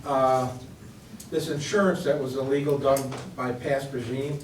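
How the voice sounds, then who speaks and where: A man's voice: mid-range voice, little pitch movement, measured pace, slight background noise. A man asking blunt questions from his seat at a township meeting. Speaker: resident at public comment. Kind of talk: public comment questions. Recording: echoey room from far off.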